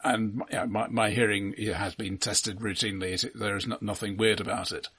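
Husky male podcast co-host, halting delivery. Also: on the low side, fairly even intonation, fairly bright tone, dry recording. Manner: halting, repeats words as he starts. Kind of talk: casual film discussion.

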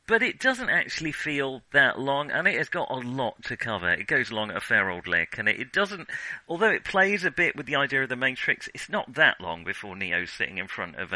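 A man's voice: fairly low pitch, bright, forceful tone, dry recording, low-quality recording. A man co-hosting a podcast, talking casually about films in a hesitant, digressive way as he thinks aloud. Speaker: podcast co-host. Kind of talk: casual film discussion.

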